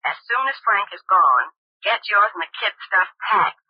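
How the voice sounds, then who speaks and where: A woman's voice: mid-range voice, animated, normal speed, slightly rough, no echo. An actress playing a bossy, impatient female crook who gives curt, slangy orders in an old-time radio drama. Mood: scheming, impatient. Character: hard-boiled, bossy, impatient female crook, scornful sister. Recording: dull, band-limited old radio recording.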